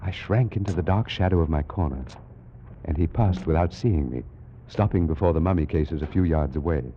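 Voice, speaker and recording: An actor playing a scholarly British Egyptologist, formally recounting an eerie adventure in a 1940s radio drama. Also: male voice, low-pitched, fairly quick, lightly acted. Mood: uneasy, suspenseful. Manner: formal, precise, dramatic storytelling. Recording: muffled, noisy vintage radio recording.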